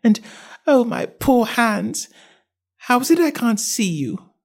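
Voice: mid-range voice, big pitch swings, slow pace, pitched above the performer's own voice, full character voice. A storybook reader voicing a polite, puzzled little girl who is earnest, wondering and easily indignant. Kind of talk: storybook character acting.

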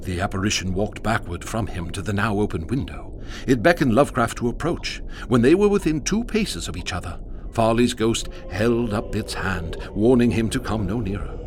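A man reading the narration of a radio drama in a measured storytelling manner. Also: lowish voice, lively intonation. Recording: clear background noise.

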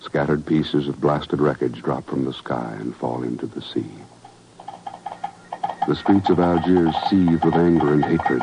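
A man with a deep voice and an American accent reads as a 1950s radio announcer. His scripted delivery is formal, measured and emphatic. The recording is dull and old, with music clearly behind him.

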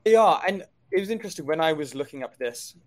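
A man's voice: a little high, animated, fast talker. A man co-hosting a stock market show, giving conversational commentary on companies and markets.

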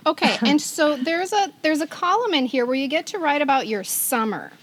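A woman co-hosting a podcast, talking casually in a playful, expressive way. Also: sits high, moderate pace, a little hiss or hum. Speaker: podcast co-host. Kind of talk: casual chat.

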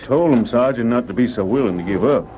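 An actor playing a loyal, encouraging miner in a radio drama, with folksy, reassuring frontier talk. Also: male voice, medium pitch, animated, quick pace, mellow, slight background noise, close to an everyday voice. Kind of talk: old-time radio drama acting. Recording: muffled old radio recording, background crowd.

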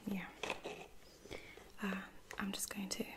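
A woman's voice: mid-range voice, monotone, very noisy, close to an everyday voice. Woman playing a whispering, caring female lieutenant. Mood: soft, caring. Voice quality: breathy unvoiced whisper. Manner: hesitant, gentle, reassuring, with soft-spoken pauses. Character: caring, apologetic female space-soldier lieutenant tending a wounded stranger. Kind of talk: whispered ASMR roleplay.

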